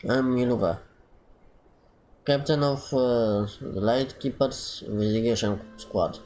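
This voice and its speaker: Slow, halting man reading game dialogue. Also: mid-range voice, darkish tone. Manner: halting, repeats phrases as he reads. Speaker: gaming streamer. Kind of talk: reading game dialogue aloud during a playthrough. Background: game music.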